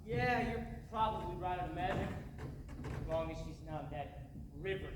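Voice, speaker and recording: A young man plays a timid, reluctant small-town man in a stage drama. He talks in a high, folksy, hesitant way. The voice is distant and swimming in echo. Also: normal speed, noisy background, close to an everyday voice. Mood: nervous, resigned. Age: teenager or young adult. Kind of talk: high school stage play acting. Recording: echoey reverberant stage recording from far off.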